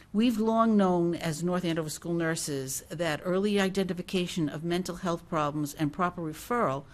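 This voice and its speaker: A woman working as a school nurse, reading a prepared report aloud at a meeting in a formal, measured way. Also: lowish voice. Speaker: school nurse presenting a project. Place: mid-sized meeting room with seated public. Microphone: gooseneck table microphone.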